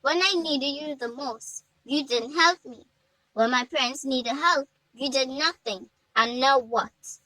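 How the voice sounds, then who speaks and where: A woman's voice: high voice, slow, sharp tone, no room sound, exaggerated voice, rough recording. A woman voicing an emotional, dramatic village girl in a told story, defiant yet tender. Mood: angry, stern. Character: defiant grieving village girl, tender with her sick mother.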